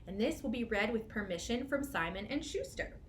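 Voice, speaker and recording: High-voiced woman hosting children's storytime. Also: expressive, brisk, a little hiss or hum. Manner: simple guiding explanations for young children. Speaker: library storytime host and reader. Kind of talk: children's storytime read-aloud.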